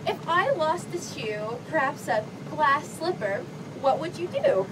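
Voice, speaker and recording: Casting: woman as prim young fairytale princess, swooping lively delivery, comedy skit, distant mic over crowd and rumble. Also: a little slow. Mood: sweet, prim. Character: sweet young Cinderella as dating-show contestant chooser. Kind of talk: improvised comedy skit in game-show format.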